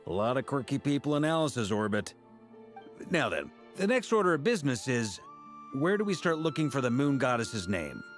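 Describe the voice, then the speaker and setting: A man plays a seasoned knight in a video game, jovial and easygoing. His mid-range voice is lively and varied, with a hearty, casual, teasing delivery. Music plays softly underneath. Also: mid-range voice, moderate pace, lightly acted. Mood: amused. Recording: music behind the voice. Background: background music.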